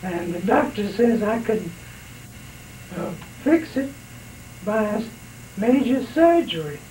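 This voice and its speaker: A man in his sixties with a raspy voice left by throat surgery, slowly recounting memories in a home oral history interview. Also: high voice, lively intonation, mellow, a little hiss or hum, rough recording. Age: 60s. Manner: slow, unhurried storytelling with pauses.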